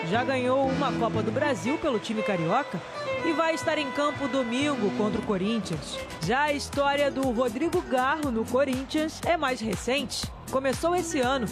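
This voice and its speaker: A woman reading a sports news report as a voice-over, in a measured and clear news delivery. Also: high voice. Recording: voice-over with music underneath.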